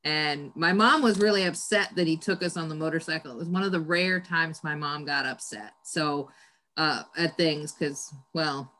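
A woman's voice: medium pitch, a bit bright. A middle-aged woman hosting a podcast interview, chatty and teasing, often laughing as she talks. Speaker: podcast host. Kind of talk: casual interview chat.

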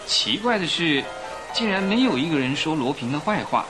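An actor in a radio drama playing a reflective, opinionated male ship passenger who speaks deliberately. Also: on the high side, sing-song. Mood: musing. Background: music.

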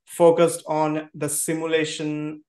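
A man's voice: a little high, monotone, takes it slowly, a little mellow. A man hosting an interview podcast, speaking with many hesitant "uh" fillers. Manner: heavy use of "uh" fillers. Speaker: podcast host. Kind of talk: conversational interview. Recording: echoey room.

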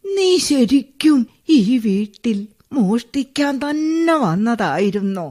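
A woman playing a lonely, widowed old mother in a radio play, talking slowly and tearfully with soft sighs. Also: on the high side, very animated, exaggerated voice. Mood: tender. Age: older. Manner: slow, tearful, tender speech with long sighs. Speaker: radio drama actress.

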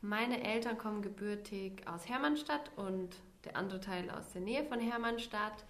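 A woman in her twenties speaks in a mid-range voice, bright and organized. She sounds roomy, as if recorded in an echoey space. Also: mid-range voice, normal speed. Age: 20s. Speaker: podcast co-host. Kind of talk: casual podcast chat. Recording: echoey room.

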